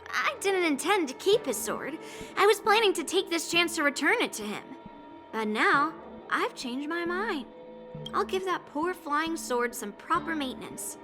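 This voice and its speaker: Cocky young swordswoman: high, smug, indignant, game music under. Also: expressive, unhurried, pushed and bright, full character voice. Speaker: game character. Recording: game audio with music behind.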